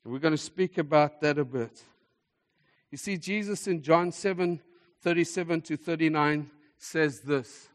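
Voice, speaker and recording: A man's voice: on the high side, normal speed. A man preaching a sermon in an emphatic manner, repeating phrases for effect as a preacher to a congregation. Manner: emphatic, repeats phrases for effect, swings between hushed and forceful delivery. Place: large hall, speaking to a congregation. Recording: echoey hall with long reverb.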